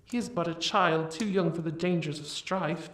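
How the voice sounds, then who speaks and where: A woman's voice: on the low side, steady tone, normal speed, a bit bright, close to an everyday voice. A woman playing an aged, protective grandmother princess in an audio drama, pleading anxiously in archaic speech. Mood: fearful. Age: older. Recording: echoey, slightly distant from the mic.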